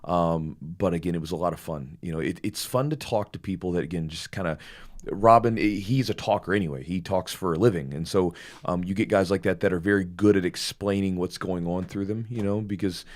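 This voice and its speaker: Very deep-voiced, slightly hoarse male host. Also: a little fast. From Louisville, Kentucky. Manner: rambling, animated storytelling with many fillers. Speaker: podcast host. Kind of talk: casual podcast chat.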